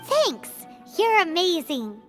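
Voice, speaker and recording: Tiny childlike fairy sidekick, very high squeaky voice, swooping, chirpy, blunt, game cutscene, loud music. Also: female voice, big pitch swings, takes it slowly, full character voice. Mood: relieved. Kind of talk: video game cutscene acting.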